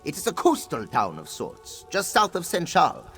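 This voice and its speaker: A man's voice: a little high, huge pitch swings, normal speed, a bit bright, soft background music. A man voicing a boastful, theatrical cat-man pirate captain in a video game, honorable and given to speaking of himself in the third person. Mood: earnest, determined.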